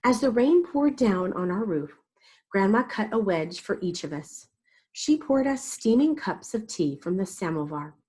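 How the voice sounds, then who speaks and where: Slightly husky woman reading a picture book. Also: medium pitch, animated, a little slow, darkish tone. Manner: dramatic, explains to young listeners. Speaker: children's storyteller. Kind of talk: expressive picture-book read-aloud.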